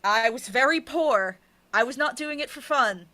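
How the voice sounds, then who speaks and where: A woman's voice: high-pitched, animated, normal speed, sharp tone. A woman being interviewed, telling personal stories casually and bluntly in short, emphatic, repeated phrases. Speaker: podcast producer and writer being interviewed.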